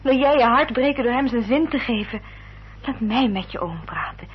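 An actress playing a determined, protective gentlewoman in a radio play, speaking in an articulate, formal way. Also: a little high, moderate pace, husky. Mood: urgent, indignant. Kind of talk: Dutch radio play acting. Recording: dull, noisy old radio recording.

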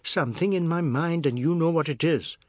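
A man reading a novel aloud, voicing a touchy, frightened man lying sick in his room, in a quick, high-pitched, mocking way. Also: lively intonation, gravelly, fairly bright tone, slightly rough, heavily acted.

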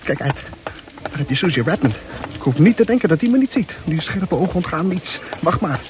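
A man's voice: a little high, very animated, brisk, background noise. An actor playing a nervous bachelor muttering his anxious thoughts as he walks through town in an old radio play. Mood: fretting. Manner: anxious inner monologue. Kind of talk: 1960s radio play acting.

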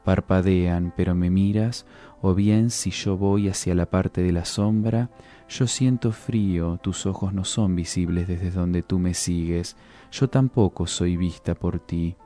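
A man giving a solemn, deliberate dramatic reading of poetic prose, as a guest reader. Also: low voice, fairly even intonation, dark tone. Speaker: guest reader of literary texts. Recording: music under the voice. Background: music.

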